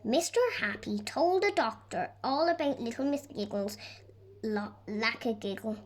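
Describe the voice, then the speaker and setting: A woman reading a children's story aloud in an expressive, deliberate storytelling manner. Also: fairly high pitch. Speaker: storybook reader. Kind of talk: scripted narration of a children's story.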